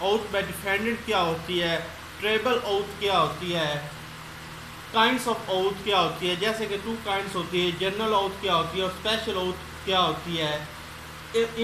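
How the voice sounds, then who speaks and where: A woman giving an explanatory law lecture, reading out points in English and explaining them in mixed Hindi-Urdu. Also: mid-range voice. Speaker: law lecturer and channel host. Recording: roomy echo, clear background noise.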